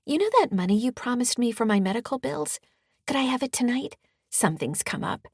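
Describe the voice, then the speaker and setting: A woman's voice: a little high, animated, fairly quick, slightly rough, no echo, clean studio sound, pitched above the performer's own voice. A woman voicing a manipulative ex-wife in an audiobook, crooning in a falsely sweet, soothing sing-song. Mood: sing-song coaxing. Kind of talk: audiobook character dialogue.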